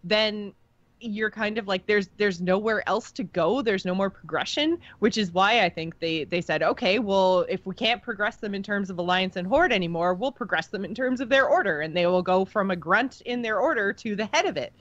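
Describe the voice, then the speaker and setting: A woman's voice: mid-range voice, moderate pace, a bit bright, no echo, muffled recording. A woman co-hosting a casual gaming podcast, talking in a chatty way and explaining her points at length. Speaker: podcast co-host.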